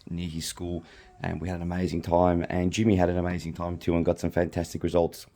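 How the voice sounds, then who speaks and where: A middle-aged Australian man hosting a podcast alone, slightly hoarse from losing his voice, rambling casually with frequent ums and restarts. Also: sits low, monotone, quick pace, dark tone. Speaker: podcast host. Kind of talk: casual solo chat.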